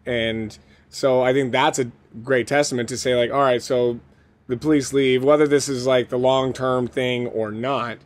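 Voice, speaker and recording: A man's voice: mid-range voice, steady tone, normal speed. A man co-hosting a podcast in casual chat, with an enthusiastic, rambling way of talking. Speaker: podcast co-host.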